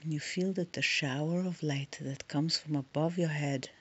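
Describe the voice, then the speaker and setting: A woman leading a guided meditation in a soft, soothing, breathy voice, leaving long pauses between short phrases. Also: low voice, steady tone, dull audio.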